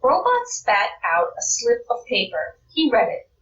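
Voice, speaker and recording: A woman reads a children's storybook aloud at an unhurried pace. Her intonation is lively and varied. She sounds a bit away from the mic in a roomy space. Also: fairly high pitch, recorded from a distance, lo-fi sound. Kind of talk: read-aloud story narration.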